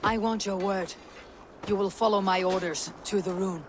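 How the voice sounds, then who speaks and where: Woman acting a Viking woman chieftain, confident, commanding, measured, video game drama, noticeable watery background noise. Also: medium pitch, fairly even intonation, lightly acted. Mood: firm.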